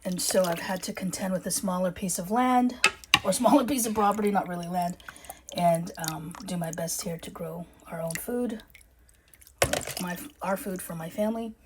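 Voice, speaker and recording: A woman's voice: lowish voice, normal speed, heavy background noise. A woman with a Filipino accent, chatting casually to camera in her home kitchen, rambling a little and correcting herself with plenty of ums. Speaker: urban homesteading vlogger.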